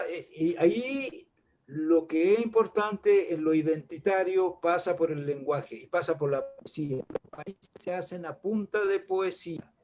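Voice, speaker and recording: A man's voice: high-pitched, darkish tone, muffled recording. An older Chilean man talking in a reflective, rambling way, with many restarts and fillers. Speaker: guest artist. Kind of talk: casual panel discussion.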